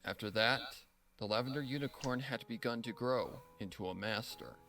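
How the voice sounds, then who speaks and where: A man reads scripted story narration in a very bright, crisp-edged voice at a middling pitch. Soft music plays underneath his storytelling. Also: moderate pace.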